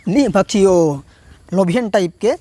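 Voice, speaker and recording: A male stand-up comedian with a Chhattisgarhi accent and a hoarse throat, chatting to viewers and laughing often between lines. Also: high-pitched, sing-song, takes it slowly, warm tone, soft background music. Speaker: stand-up comedian and channel host. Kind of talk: casual stand-up comedy monologue.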